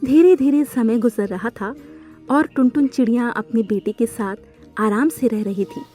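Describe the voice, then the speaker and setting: High-pitched woman narrating a children's story. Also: normal speed, mellow, faint music behind.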